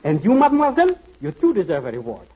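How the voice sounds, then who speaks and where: An actor playing a gallant, theatrical opera singer with formal grand manners in a 1940s radio comedy. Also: male voice, very high-pitched, wildly swooping pitch, fast talker, breathy edge, full character voice. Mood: suave. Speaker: singing comic actor in a radio show. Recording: muffled vintage radio recording.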